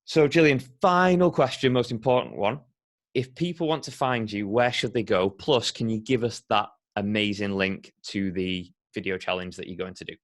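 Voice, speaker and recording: A man's voice: fairly low pitch, moderate pace. A young man hosting a podcast, asking easygoing questions and encouraging his guest on a video call from a living room. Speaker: podcast host. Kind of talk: casual video call interview.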